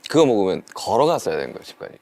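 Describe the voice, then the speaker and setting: A man's voice: on the low side, racing, vocal fry, no room sound. A man in his thirties from Daegu, South Korea, hosting a casual chat and telling his own stories with animation. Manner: animated storytelling, drifts into dialect. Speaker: host.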